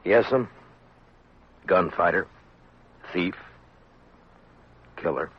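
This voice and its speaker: An actor playing a grim, laconic but courteous US marshal in a 1950s radio western. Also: male voice, low-pitched, very slow, slightly rough, exaggerated voice. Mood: grave, subdued. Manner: terse, courteous, restrained. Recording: dull vintage 1950s radio recording.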